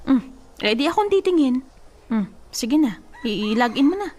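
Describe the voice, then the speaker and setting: A woman's voice: high-pitched, big pitch swings, moderate pace, a little mellow. A woman acting a blunt, exasperated young female cousin who scolds and talks in a sassy, colloquial way in a Tagalog radio drama. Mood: nosy, goading.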